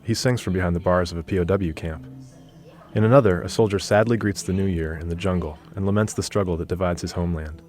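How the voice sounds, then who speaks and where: A man narrating a radio feature in a measured, descriptive way. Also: sits low, steady tone, darkish tone. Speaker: reporter narrating.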